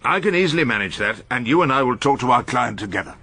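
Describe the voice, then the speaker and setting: A man's voice: mid-range voice, lively intonation, quick pace, gravelly, bright, forceful tone, slightly rough, no echo, full character voice. An actor playing an irascible, witty elderly English barrister in a radio drama, pompous and ornate in his phrasing as he talks and narrates. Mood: brisk. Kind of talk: radio drama acting and wry first-person narration.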